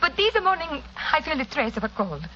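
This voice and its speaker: A high-pitched, melodramatic Italian diva. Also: female voice, very animated, brisk, sharp tone, exaggerated voice. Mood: grave, dramatic. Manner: melodramatic, imperious. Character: imperious, frightened, highly strung female Italian opera diva. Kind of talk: 1940s radio drama acting. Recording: dull, noisy old radio broadcast recording.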